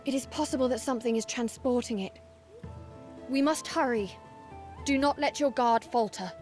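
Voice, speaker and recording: An actress playing a solemn, dutiful warrior maiden in a video game, speaking formally and resolutely. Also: female voice, on the high side, moderate pace, a little mellow, faint music behind, lightly acted. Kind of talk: dramatic video game cutscene acting.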